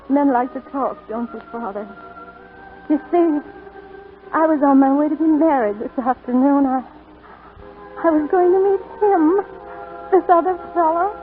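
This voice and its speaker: An actress playing a flustered, wry young bride-to-be in a 1940s radio drama. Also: female voice, high-pitched. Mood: wry, weak. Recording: tinny 1942 radio broadcast, faint music.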